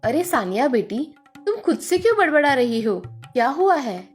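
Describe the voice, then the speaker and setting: A woman playing a middle-aged Indian mother and mother-in-law, nagging but caring, in an animated moral story. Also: high-pitched, normal speed, soft background music. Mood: mildly bossy.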